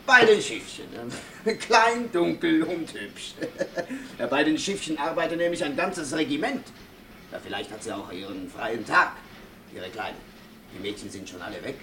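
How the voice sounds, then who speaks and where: An actor playing a jovially mocking factory porter who teases and drawls in a 1960s German radio play. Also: male voice, on the high side, lively intonation, unhurried, a bit bright. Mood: amused. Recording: distant, noisy old radio recording.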